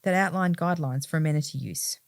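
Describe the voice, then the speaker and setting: A woman's voice: sits low, fast, dry recording, studio quality. A woman reading quoted official statements aloud from a script for a news article. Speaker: volunteer reader of quoted statements.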